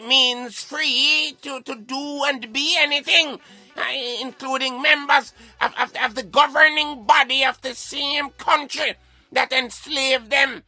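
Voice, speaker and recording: A man debating in formal English, in a halting but insistent manner. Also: very high voice, steady tone, pushed and bright, soft background music. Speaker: radio show co-host.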